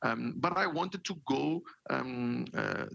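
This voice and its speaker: Gravelly, dull-sounding man from Portugal. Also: medium pitch, expressive, slow, pushed and bright, no room sound. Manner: many fillers and repeated words, storytelling. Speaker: guest, marketing executive. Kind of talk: casual interview talk.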